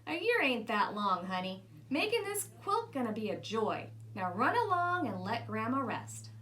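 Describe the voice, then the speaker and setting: Storybook grandma, played by a woman: elderly, folksy, fond, proud, lively intonation. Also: on the high side, moderate pace. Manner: folksy dialect with ain't and gonna. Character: wise, patient old grandmother, fond and proud. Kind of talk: children's storybook read-aloud.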